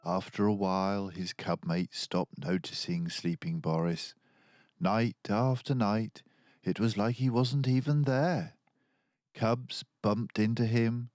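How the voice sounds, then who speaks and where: An older man reading a children's story aloud as an expressive narrator. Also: fairly low pitch, no echo. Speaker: storybook reader.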